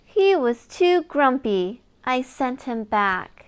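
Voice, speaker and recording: A woman reading a picture book aloud to young children in an expressive storytelling manner, with exaggerated wonder for small listeners. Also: high voice, takes it slowly, clear, resonant tone.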